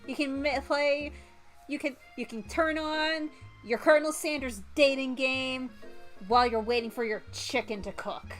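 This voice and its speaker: A woman's voice: high voice, fairly even intonation, normal speed. A woman livestreamer reading a visual novel aloud in a theatrical, giggly, playful way. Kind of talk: playful game streaming, reading story narration aloud. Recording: music behind the voice. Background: music.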